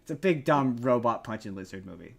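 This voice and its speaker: Guy podcast cohost just chatting, rambles a bit, repeats stuff, normal mid pitch. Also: expressive, fairly quick, creaky. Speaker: podcast co-host. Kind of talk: casual chat reviewing movies.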